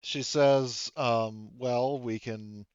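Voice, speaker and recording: A man running a tabletop roleplaying game, improvising narration and character dialogue in a casual, halting way. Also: mid-range voice, fairly even intonation, smooth, no room sound, dull audio. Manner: casual, halting, thinking aloud. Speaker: game master.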